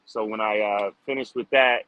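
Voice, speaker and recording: A man's voice: on the low side, fairly even intonation, moderate pace, a bit bright, smooth, no room sound, poor audio. A man answering interview questions casually as a street musician, upbeat and informal, often dropping in "like" and "man". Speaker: street drummer guest.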